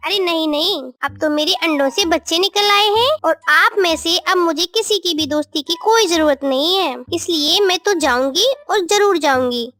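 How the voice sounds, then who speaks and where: A woman's voice: very high-pitched, normal speed, hardly any pauses, bright, forceful tone, no echo, soft background music. A woman voicing a naive, stubborn young mother crow from a children's story, speaking in an earnest, emphatic way. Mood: proud, dismissive.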